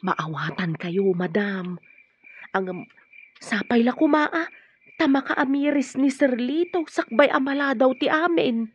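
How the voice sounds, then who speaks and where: Natayag ti timekna a katulongan a babai. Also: female voice, high voice, lively intonation, unhurried. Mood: soothing. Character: deferential, concerned female household helper, plain-spoken and curious. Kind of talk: Ilocano radio drama acting. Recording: muffled radio drama recording, faint hiss.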